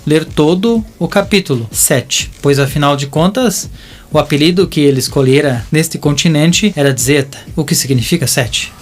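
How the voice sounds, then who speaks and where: Male Brazilian narrator, theatrical audiobook drama delivery, slightly high voice, music bed. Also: moderate pace.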